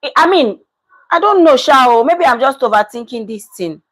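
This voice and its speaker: A woman talks through a casual reaction commentary, leaning hard on her points and going back to them. Her voice sits on the higher side. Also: moderate pace. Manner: animated, emphatic, repeats herself. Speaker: commentary vlogger.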